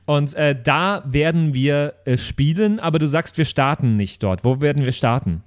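A man's voice: a little high, normal speed. A man chatting casually as a playful, inquisitive co-host of a role-play podcast. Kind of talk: casual chat.

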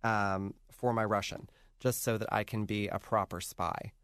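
A man's voice: lowish voice, fairly even intonation, unhurried, fairly bright tone, smooth, no room sound, clean studio sound. A man hosting a casual podcast, chatty and laughing often, with plenty of fillers. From North Jersey. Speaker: podcast host. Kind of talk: casual chat.

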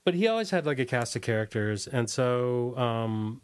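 A man's voice: medium pitch, animated, normal speed, clean tone. A man, a musician being interviewed, reminiscing casually in a hesitant, rambling way that often trails off. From Washington, D.C. area, United States.

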